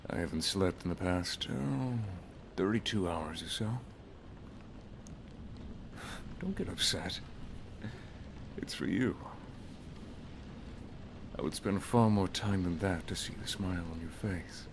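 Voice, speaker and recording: Guy acting out a deep voiced wizard master boyfriend for asmr, soft n teasing, kinda noisy recording. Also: unhurried, gravelly. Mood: tender, reassuring. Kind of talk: ASMR roleplay, scripted.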